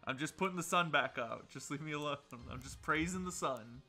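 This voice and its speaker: An excitable, jokey man bantering casually with friends while playing a video game, often breaking into laughter. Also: a little high, fairly quick, soft background music. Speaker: player in an online gaming group.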